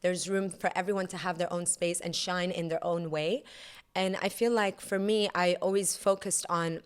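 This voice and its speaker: A young woman, a yoga instructor, talking calmly and softly as a podcast guest. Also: on the low side, moderate pace, smooth. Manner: calm, soft-spoken. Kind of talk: casual chat.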